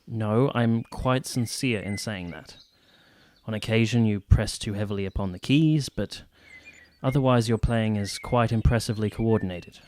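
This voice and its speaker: An actor playing an earnest, idealistic and courteous army captain in a period radio drama, measured and formal. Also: male voice, on the low side, darkish tone, lightly acted. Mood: sincere.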